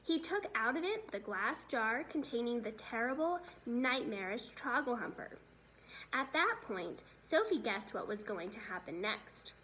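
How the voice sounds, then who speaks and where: A woman reading a children's story aloud as expressive narration, in the role of a teacher. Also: fairly high pitch, a little slow, a little hiss or hum.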